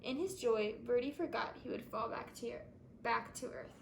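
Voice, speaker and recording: A woman reading a storybook aloud to children, in expressive scripted narration. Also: on the high side, moderate pace, creaky, slight background noise. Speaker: storybook reader.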